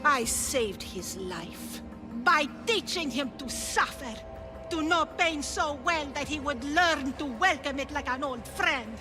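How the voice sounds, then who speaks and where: Slow, high-voiced, cruel priestess villain. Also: female voice, animated, over music. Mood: cold zeal. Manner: slow, menacing, theatrical. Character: cruel, gloating older female cult priestess villain. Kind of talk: dramatic video game voice acting.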